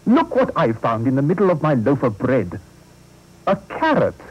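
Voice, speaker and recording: A man playing a pompous, blustering male town mayor who booms indignantly. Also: big pitch swings, fairly quick, a little mellow, slightly rough, dull audio. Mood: outraged. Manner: booming, indignant.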